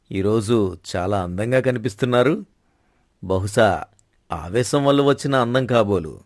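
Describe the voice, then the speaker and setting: A man's voice: medium pitch, no echo, studio quality, close to an everyday voice. A man acting a proud, commanding middle-aged king in a story drama, speaking in a formal, stately way. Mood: teasing, wry. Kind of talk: scripted Telugu moral-story dramatization.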